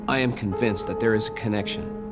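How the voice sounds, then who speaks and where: An actor playing a stubborn, earnest young American federal agent in a video game, speaking deferentially with some hesitation. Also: male voice, lowish voice, fairly quick, close to an everyday voice. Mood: earnest, hesitant. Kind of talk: video game cutscene acting. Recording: game dialogue over background music.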